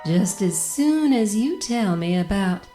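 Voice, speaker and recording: A woman's voice: mid-range voice, expressive, a little slow, dark tone, faint music behind, lightly acted. An actress playing a defiant, bold woman in an audio drama, with a taunting, dramatic delivery. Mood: firm, demanding. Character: defiant, bold woman who is tougher than she looks.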